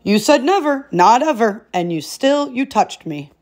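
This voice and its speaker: A woman's voice: fairly high pitch, very animated, unhurried, a little mellow, voice pushed up. A woman voicing a sly, teasing male frog in a storybook, with a goading, pert manner. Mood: gleeful.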